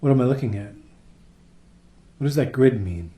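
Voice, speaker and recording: Man playing a nervy junior astronaut, mellow dark voice, halting and respectful, sounds lost, radio drama. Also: medium pitch, expressive, lightly acted. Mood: doubtful. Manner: halting, pensive, deferential. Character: unmoored, deferential male junior crewman on a space station. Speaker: actor in a radio play. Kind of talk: naturalistic radio play acting.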